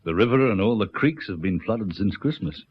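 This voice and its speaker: An actor playing a cautious, practical detective with a measured manner in a radio drama. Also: male voice, lowish voice, lively intonation, breathy edge. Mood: doubtful. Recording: dull lo-fi radio recording.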